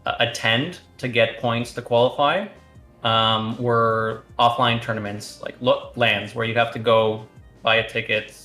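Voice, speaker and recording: A man's voice: mid-range voice, fairly even intonation, unhurried, sharp tone, roomy sound, faint music behind. A man from Romania answering interview questions casually, rambling with fillers and restarts. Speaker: guest, competitive card game player.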